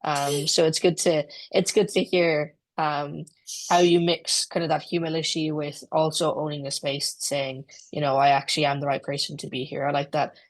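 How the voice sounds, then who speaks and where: A woman's voice: low voice, little pitch movement, normal speed, no echo. A woman moderating an online discussion in a conversational, informal way as host. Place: online video call.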